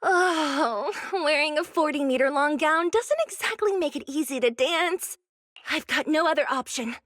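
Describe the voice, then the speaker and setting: Game role: young female idol, high, lively voice, dreamy, determined, expressive. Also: moderate pace, no room sound, studio quality. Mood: worried. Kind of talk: anime-style game voice acting.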